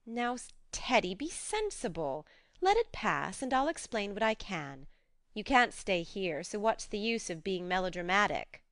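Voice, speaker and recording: A woman voicing a spirited, frank young woman who talks briskly and coaxingly. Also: mid-range voice, big pitch swings, fairly bright tone, dry recording.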